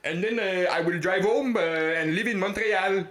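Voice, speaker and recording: A man voicing a chirpy French-Canadian woman in a mocking singsong, pausing on halting "uh"s. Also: high-pitched, fairly bright tone, roomy sound, voice pushed up.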